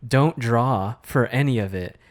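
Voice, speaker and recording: A man's voice: mid-range voice, measured pace. A man in his thirties co-hosting a casual podcast, talking things through thoughtfully. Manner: thoughtful, lots of fillers and restarts. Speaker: podcast co-host, artist. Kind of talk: casual chat.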